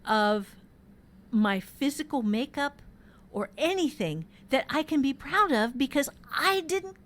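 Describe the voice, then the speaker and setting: A woman's voice: high-pitched, animated, a little slow, fairly bright tone. A woman hosting a podcast workshop, talking informally and candidly, telling personal stories emphatically and swearing freely. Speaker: podcast host and workshop teacher. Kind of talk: casual workshop chat and personal storytelling.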